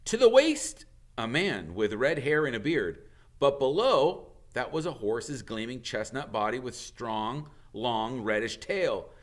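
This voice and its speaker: A man's voice: mid-range voice, big pitch swings, unhurried. A man reading a storybook aloud as a teacher would, in dramatic narration.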